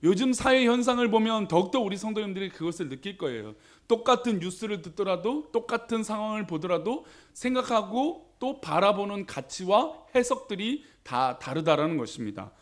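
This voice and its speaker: A man's voice: high-pitched, animated, a little fast, few pauses. A male pastor preaching a sermon in a conversational way, often asking the congregation questions.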